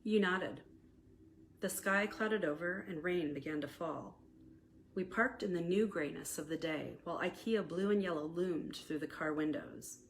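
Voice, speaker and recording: An American woman with a fairly low voice narrates a scripted romantic memoir. She reads expressively and deliberately, like an author presenting her own story. There is some background noise on the recording. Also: low-quality recording. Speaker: author reading own novella aloud.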